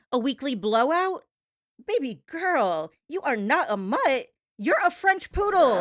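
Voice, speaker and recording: Female gossip-show host, dramatic and sassy, very animated intonation, close dry sound, slangy emphatic delivery. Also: a little high, dry recording. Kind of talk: gossip talk show monologue in homage to daytime TV hosts.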